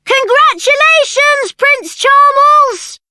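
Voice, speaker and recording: An excitable townswoman in a fantasy game. The voice is high and sharp, with animated, drawn-out exclamations. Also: no room sound, exaggerated voice. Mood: excited. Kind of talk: scripted game voice acting.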